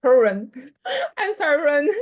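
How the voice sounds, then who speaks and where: High-voiced woman with a Portuguese accent. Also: big pitch swings, moderate pace, highs cut off. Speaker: event host. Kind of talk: online panel moderation. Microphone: video call.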